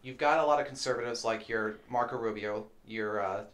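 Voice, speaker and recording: Male panel guest, fast, blunt and wry. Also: medium pitch, fairly bright tone. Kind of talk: casual political discussion.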